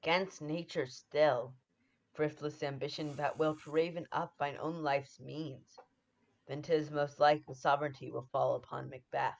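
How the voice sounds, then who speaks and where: A man's voice: a little high, steady tone, unhurried, vocal fry. A young man acting a wary, suspicious nobleman in a Shakespeare radio play, with a muffled sound. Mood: troubled. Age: young adult. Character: wary, suspicious Scottish nobleman, loyal in manner. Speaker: student actor.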